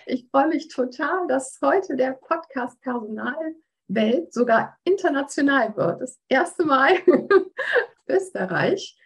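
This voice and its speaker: A woman hosting a podcast interview, upbeat and quick to laugh. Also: high-pitched, animated. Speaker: podcast host.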